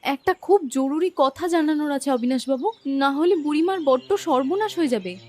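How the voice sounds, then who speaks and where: Woman acting a high-voiced, earnest village girl. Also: moderate pace, a little mellow, no echo, lightly acted. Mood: worried, resolute. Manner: questioning, earnest. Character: kind, loyal, inquisitive young village woman. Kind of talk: cartoon voice acting.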